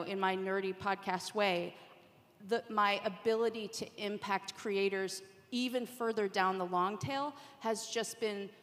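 A woman talks as an industry panelist in a big, reverberant hall. Her voice sits at an average pitch. She is conversational and emphatic, keeping things informal. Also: a bit bright. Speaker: podcast industry revenue executive, panelist. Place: large room at a conference panel. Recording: echoey hall with long reverb.

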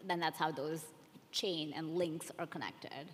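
A woman with a clearly low voice speaks as a panelist in a big, reverberant hall. She explains things step by step in a conversational way. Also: fairly even intonation, measured pace. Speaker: panelist, audience research executive. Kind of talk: casual panel discussion.

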